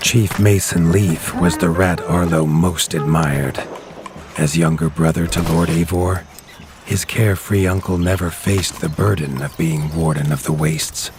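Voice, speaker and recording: A man narrating a storybook game in a grave, measured storyteller manner, recorded as a studio voice-over. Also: sits low, fairly even intonation.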